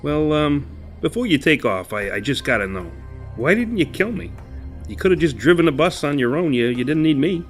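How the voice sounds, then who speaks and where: A man voicing a rough-talking male bus driver, blunt and wary, who drawls with fillers and hesitations. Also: a little high, sing-song, moderate pace, soft background music, pitched above the performer's own voice. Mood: uneasy. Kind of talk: horror audio drama.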